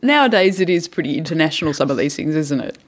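A woman's voice: low-pitched, lively intonation, quick pace. A woman hosting a podcast, asking guests questions in a casual, playful way. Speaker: podcast host. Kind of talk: casual interview.

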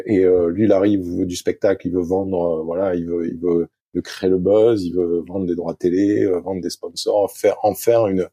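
A middle-aged French-speaking man, a sailing coach answering interview questions in a halting way, full of fillers and restarts. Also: low-pitched, mellow, husky, no echo. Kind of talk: hesitant conversational interview answers.

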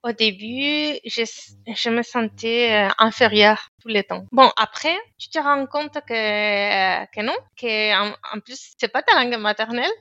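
A woman's voice: on the high side, a little slow, hardly any pauses, sharp tone, dry recording, highs cut off. A woman with a Spanish accent, speaking French as a learned language, answering interview questions hesitantly with fillers and restarts while searching for words. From Spanish-speaking country. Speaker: guest, engineer. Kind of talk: conversational interview.

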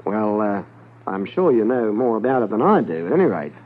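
Shrewd gold smuggler, 1950s radio crime serial. Guarded, deliberate, widely varied intonation, thin vintage broadcast sound. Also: male voice, lowish voice, very animated. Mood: polite, probing. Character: shrewd, smooth-talking male gold smuggler. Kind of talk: 1950s radio drama acting. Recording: narrow-band vintage 1950s radio recording.

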